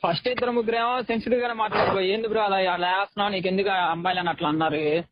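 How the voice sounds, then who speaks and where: A man's voice: sits high, steady tone, very fast, a little hiss or hum. A young man chatting informally and in slang on a recorded phone call. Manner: informal, slangy, says "bro" often. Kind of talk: casual phone conversation.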